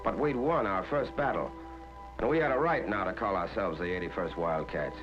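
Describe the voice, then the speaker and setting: Man as american gi narrating old 1940s war film, low voice, plain talking, dull soundtrack w music behind. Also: normal speed, natural voice. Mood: grim pride. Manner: plain-spoken soldier's voice, colloquial. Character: plain-spoken American infantryman recounting his first battle. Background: loud music, artillery fire.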